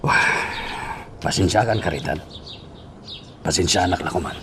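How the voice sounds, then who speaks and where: An actor playing a concerned, questioning head of a household in a radio drama. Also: male voice, low-pitched, normal speed, noisy background. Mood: apologetic.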